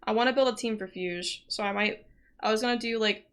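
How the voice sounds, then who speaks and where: A woman's voice: fairly high pitch, a little fast, fairly bright tone. A young woman chatting casually and rambling as she streams a game. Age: young adult. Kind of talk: casual livestream chat while gaming. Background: game music and voices.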